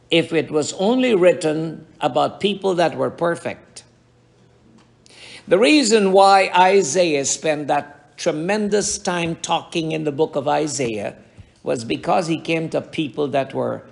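A middle-aged man preaching a sermon to his congregation, with a raspy voice and emphatic rhetorical questions. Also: fairly high pitch. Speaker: pastor.

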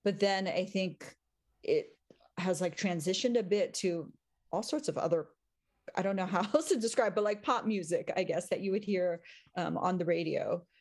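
A woman in her forties, an English professor and podcast guest, chatting casually and thoughtfully, with many pauses and frequent laughs. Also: on the low side, darkish tone. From Taiwan, raised in the US. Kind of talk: casual conversation.

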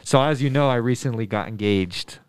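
A man in his twenties from Denver, a middle school math teacher, answering students' questions in a thoughtful, earnest way, pausing often with ums and restarting sentences. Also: mid-range voice, clear, resonant tone, no echo. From Denver, USA. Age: 20s. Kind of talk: casual interview answers to students.

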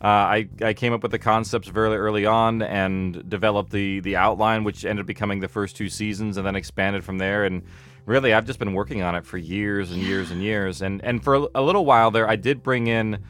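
A man's voice: lowish voice, steady tone, brisk, a bit bright. A man with an American accent talking casually in an interview as an audio drama producer, explaining and thinking aloud.

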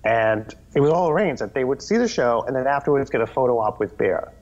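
Brief: a man in a casual interview, mid-pitched, animated and rambly, on slightly dull audio. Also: fast. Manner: animated, rambling, with many restarts. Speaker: guest, children's TV performer.

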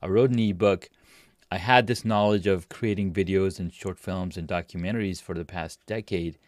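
A man with a deep, low voice tells his story as a podcast guest, relaxed and rambling, often restarting his sentences. He sounds close and dry, with no room around him. He is in his forties and comes from Pakistan. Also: normal speed, dry recording. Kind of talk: casual interview talk.

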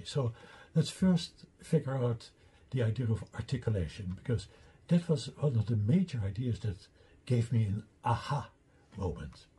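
An older man with a Dutch accent, a native Dutch speaker, giving a deliberate slide lecture and explaining his own theory step by step. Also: mid-range voice, dark tone, breathy edge.

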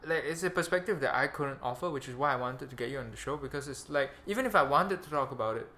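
A man's voice: mid-range voice, fast talker, pushed and bright. A young man with a Malaysian accent hosting a podcast in a rambling, crude, self-mocking casual chat. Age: young adult. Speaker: podcast host.

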